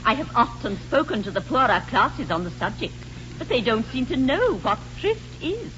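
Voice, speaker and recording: An actress playing a prim, middle-aged English spinster governess in a 1940s radio comedy, speaking precisely and sententiously. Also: female voice, fairly high pitch, sing-song, moderate pace, a bit bright. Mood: prim disapproval. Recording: dull, noisy 1940s radio broadcast.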